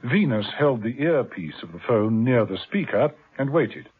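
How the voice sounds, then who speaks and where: A man narrating a radio drama in a measured, vivid storytelling manner. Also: medium pitch, expressive, breathy edge. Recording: tinny old radio broadcast.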